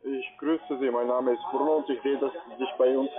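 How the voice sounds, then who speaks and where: A man's voice: mid-range voice, steady tone, brisk. A man making a sales introduction on the phone as a call centre agent. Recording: tinny phone line with busy crowd.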